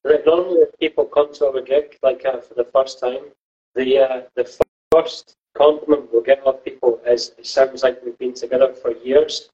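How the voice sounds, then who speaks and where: A man's voice: medium pitch, fairly even intonation, moderate pace, dark tone. A Scottish man in a band, talking in an easygoing way as an interview guest. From Scotland. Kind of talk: casual interview chat. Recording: dull lo-fi sound.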